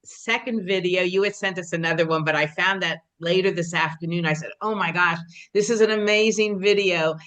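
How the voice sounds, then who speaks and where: A woman interviewing a guest on a video call, chatty and quick to laugh. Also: lowish voice, fairly even intonation. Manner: warm, laughs often, chatty. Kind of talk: conversational interview.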